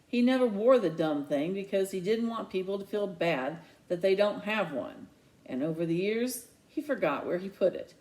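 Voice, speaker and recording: A man's voice: high-pitched, expressive, moderate pace, darkish tone, low-quality recording. A woman voicing a resentful, sarcastic middle-school boy in a children's novel read aloud. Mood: bitter, sarcastic. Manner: indignant, sarcastic.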